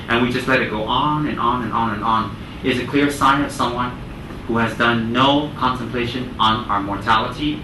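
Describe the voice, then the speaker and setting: A man giving a Buddhist teaching talk to a group, speaking deliberately and repeating phrases for emphasis. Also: medium pitch. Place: indoor room with listeners. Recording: roomy, distant mic, clear air-conditioning noise.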